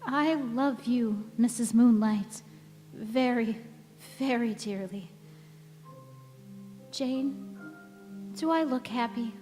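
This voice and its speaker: An actress playing a gentle, devoted elderly wife and mother in a stage radio play, wistful and serenely weary. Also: on the high side, takes it slowly, pauses often. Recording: echoey hall, music behind.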